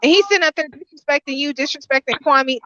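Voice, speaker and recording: A fairly high-voiced woman, chatting indignantly and animatedly and leaning on "like" as filler. The sound is dry and close, and the audio is dull and lo-fi. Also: fast talker, dry recording. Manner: animated, indignant, lots of "like" and "you know". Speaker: livestream guest. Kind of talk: casual livestream chat.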